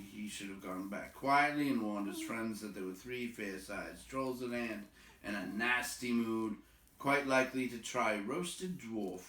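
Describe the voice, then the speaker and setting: A man reading a storybook aloud as an expressive narrator. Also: fairly low pitch, roomy sound, distant mic. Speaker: storybook reader.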